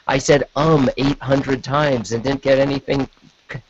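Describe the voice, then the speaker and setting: Male podcast guest, husky mid-pitched voice, halting self-deprecating chat, dull lo-fi call. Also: fairly even intonation, no room sound. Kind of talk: casual chat.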